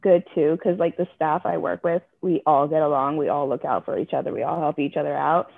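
A woman's voice: sits low, steady tone, brisk, gravelly, no room sound. A woman from Jamaica speaks frankly and earnestly as an interview guest. Her voice comes through a narrow, tinny phone-like line. There is no room sound on her voice at all. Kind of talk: candid personal interview.

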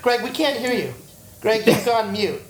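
A man's voice: sits high, lively intonation, moderate pace, echoey room, background noise. A man co-hosting a podcast who jokes and laughs often in casual chat. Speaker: podcast co-host.

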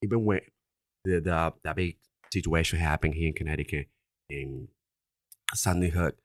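Earnest Dominican-accented man, deep voice. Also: steady tone, moderate pace, no echo, clean studio sound. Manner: earnest, repeats "right?" often. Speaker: insurance agency owner. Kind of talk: podcast interview.

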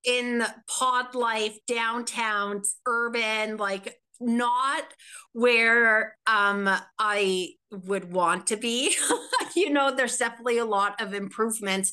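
Bright, chatty woman. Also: mid-range voice, smooth. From Canada, Ontario. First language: Swiss German. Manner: chatty, rambling, heavy filler words, frequent laughter. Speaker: guest. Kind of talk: casual interview chat.

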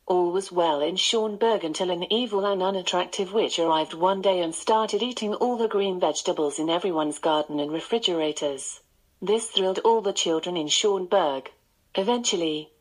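A woman's voice: lowish voice, poor audio. A synthetic woman's voice of a voice assistant, narrating bedtime stories.

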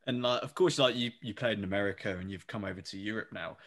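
Chatty low-voiced co-host. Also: male voice, fast, a bit bright. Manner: chatty, loose questions with many fillers. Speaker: podcast co-host. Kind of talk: asking interview questions.

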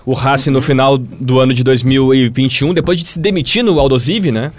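A man's voice: mid-range voice, fairly quick, no echo. A Brazilian man co-hosting a casual football podcast, explaining points and often checking in with his co-hosts. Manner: explanatory, leaning on tag questions. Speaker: podcast co-host.

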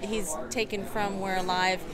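A woman's voice: medium pitch, flat delivery, a little slow. A woman giving casual answers as a fan in a vox-pop interview. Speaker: fan attending an event. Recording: crowded event, music behind. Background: crowd chatter and music.

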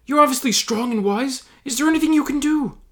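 A man's voice: extremely high pitch, a little fast, a bit bright, voice pushed up. A man voicing a panicky young male hobbit who squeals and pleads frantically in a comic audio story. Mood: pleading. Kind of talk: comic audio fan fiction.

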